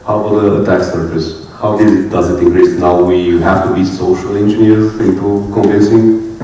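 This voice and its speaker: A man moderating a conference panel, asking short brisk questions. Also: deep voice, flat delivery, dark tone, slight background noise. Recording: very echoey hall, distant mic, faint ambience.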